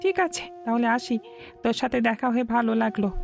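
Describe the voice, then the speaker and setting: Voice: mid-range voice, animated, fast talker, vocal fry, soft background music. A voice actor playing a poor, ashamed village father in a cartoon story, speaking in a pleading, apologetic way. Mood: resigned.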